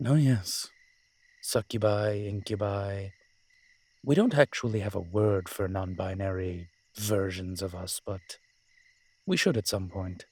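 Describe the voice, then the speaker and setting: Man playing a husky, slow, low-voiced incubus demon. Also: fairly even intonation, a little mellow. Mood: wry, explanatory. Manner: teasing, sardonic, unhurried with dramatic pauses. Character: wry, flirtatious, nervous male incubus demon. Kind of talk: roleplay audio drama addressed to the listener.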